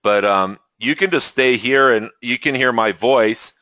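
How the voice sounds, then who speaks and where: A man hosting a spiritual webinar, talking in a relaxed way with chuckles and frequent restarts, through a computer mic on a call. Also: medium pitch, unhurried, no echo, low-quality recording. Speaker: webinar host. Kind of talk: teaching webinar talk.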